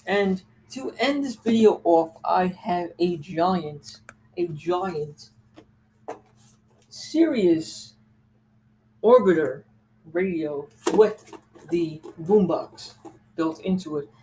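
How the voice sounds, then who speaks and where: A mellow, medium-pitched voice hosts a casual show-and-tell of gadgets. The delivery is conversational and wanders into asides. There is some faint noise behind the voice. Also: lively intonation, drawn-out words, long pauses, low-quality recording. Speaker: host reviewing a radio collection.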